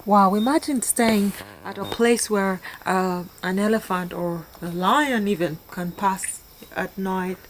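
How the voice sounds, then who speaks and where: A woman narrating a casual vlog in a hushed, excited way while watching an elephant at night outdoors. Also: medium pitch, slow, few pauses, slight background noise, poor audio.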